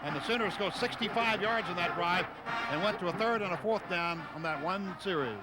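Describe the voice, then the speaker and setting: A high-voiced man works as a radio football announcer, calling each play in clipped, excitable bursts. The broadcast is noisy, with clear stadium ambience behind him. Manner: excitable, calls plays in short rapid phrases. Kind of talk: live football play-by-play commentary. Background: loud stadium ambience.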